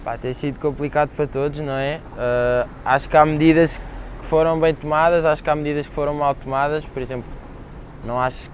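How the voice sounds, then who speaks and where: A young man with a European Portuguese accent answers casually in a slightly high voice. He often hesitates and restarts his sentences while giving his opinion. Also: steady tone, a little fast, slight background noise. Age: young adult. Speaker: interviewee.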